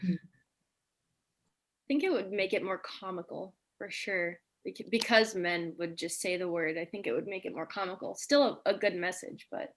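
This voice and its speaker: A woman's voice: on the low side, a little slow. A woman chatting casually in an online group discussion. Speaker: discussion participant. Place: online video call.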